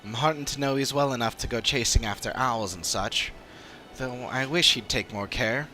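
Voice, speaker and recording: A man voicing an earnest, analytical adventuring companion in a fantasy game, speaking in a measured, formal way. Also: mid-range voice, bright, forceful tone, faint music behind, lightly acted, poor audio. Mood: thoughtful. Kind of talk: dramatic reading of game dialogue.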